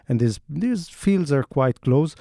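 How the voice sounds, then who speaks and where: A man's voice: on the high side, expressive, takes it slowly, creaky, warm tone, dry recording, studio quality. A man with an Israeli accent, an investigative journalist telling the story of an undercover investigation in an interview, often restarting and repeating words as he talks.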